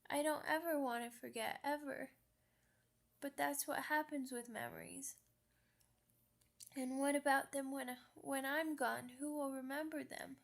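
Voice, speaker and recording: A woman's voice: sits high, gravelly. A woman voicing a sad, earnest young female werewolf pup in an ASMR roleplay, with hesitant, childlike phrasing. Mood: grieving.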